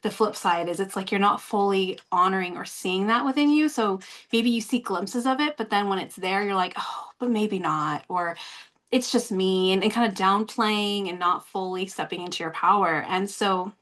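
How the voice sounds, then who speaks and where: A woman's voice: mid-range voice, moderate pace. A woman hosting a show, reading tarot for a guest in long, flowing, encouraging talk. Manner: talkative, warmly encouraging, talks in long flowing runs. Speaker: host and tarot reader. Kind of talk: casual chat, giving a tarot reading.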